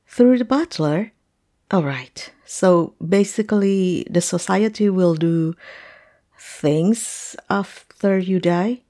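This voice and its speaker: A woman acting a hesitant, polite, heartbroken client in a fantasy roleplay audio drama, speaking haltingly. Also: lowish voice, dark tone, full character voice. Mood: amazed.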